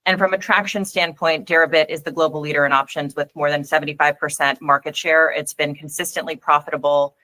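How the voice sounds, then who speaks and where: A woman's voice: low voice, pushed and bright, low-quality recording. A woman speaking as a company executive, giving a structured, businesslike answer on an earnings call.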